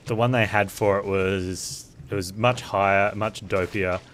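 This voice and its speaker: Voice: low-pitched, steady tone, a little slow, fairly bright tone, a little hiss or hum. A game streamer giving casual, joking commentary over gameplay in a sarcastic, irreverent manner.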